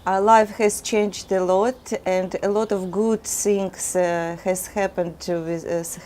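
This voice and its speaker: A woman's voice: fairly low pitch, darkish tone, a little hiss or hum. A woman from Crimea, answering interview questions earnestly with hesitant fillers. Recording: echoey room.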